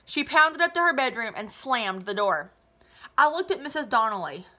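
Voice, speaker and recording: A woman's voice: a little high, very animated, moderate pace, sharp tone. A woman in her thirties with a North American accent, reading a children's novel aloud expressively, like a teacher to students. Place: small living room at home, indoors.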